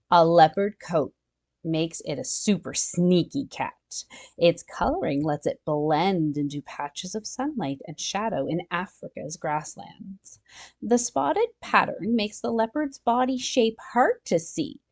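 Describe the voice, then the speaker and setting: A woman reading a children's nonfiction picture book aloud, expressively, with chatty asides and exclamations to her young listeners. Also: fairly low pitch. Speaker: children's storytime reader.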